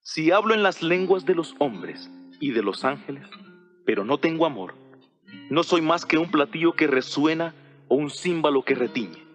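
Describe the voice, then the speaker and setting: A man's voice: a little high, lively intonation, close to an everyday voice. Solemn apostle played by a man, music behind. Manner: solemn, measured, rhetorical. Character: earnest male apostle Paul instructing his church. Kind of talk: dramatized audio Bible reading. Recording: voice over background music.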